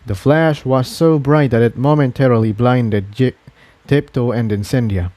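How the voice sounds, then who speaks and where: Mellow male story narrator, dry sound. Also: mid-range voice, moderate pace, dry recording.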